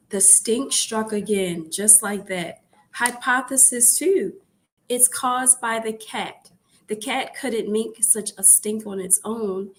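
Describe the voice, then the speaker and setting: A woman's voice: medium pitch, fairly bright tone, a little hiss or hum. A woman storyteller reading a children's picture book aloud, expressively and with phrases repeated for emphasis. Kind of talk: read-aloud bedtime story narration.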